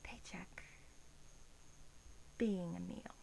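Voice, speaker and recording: Slow, hushed female vampire played by a woman, soft-spoken ASMR. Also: lowish voice, gravelly, slight background noise, heavily acted, rough recording. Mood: doting, predatory. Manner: slow, hushed, slipping into whispers, musing. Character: centuries-old female vampire, smug, predatory, softly doting on captive.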